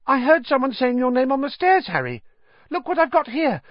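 A man voicing an eager, talkative young schoolboy fan with a piping, breathless voice and a rapid string of questions. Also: very high voice, big pitch swings, no echo, pitched above the performer's own voice. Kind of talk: audiobook character voice. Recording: dull, dry lo-fi audio.

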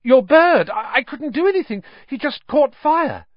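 Casting: nervous schoolboy voiced by a male narrator, earnest, pleading, pitched well up, dry storybook recording. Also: sing-song, normal speed, no echo, highs cut off. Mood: panicked.

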